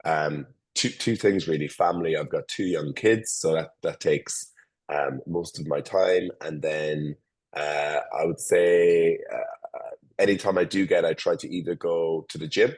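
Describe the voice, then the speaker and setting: A man's voice: very deep voice, fairly even intonation, a little slow. A man in his forties with an Irish accent, a company founder giving an interview over a call, stumbling through restarts and fillers. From Ireland. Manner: hesitant, many restarts and fillers. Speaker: guest, tech company founder. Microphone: remote call.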